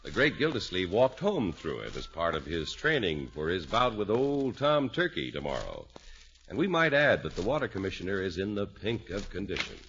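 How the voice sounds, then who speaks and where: A man's voice: low-pitched, big pitch swings, moderate pace, a bit bright. A man working as a 1950s radio announcer, with a polished, emphatic, promotional delivery. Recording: muffled 1950s radio recording.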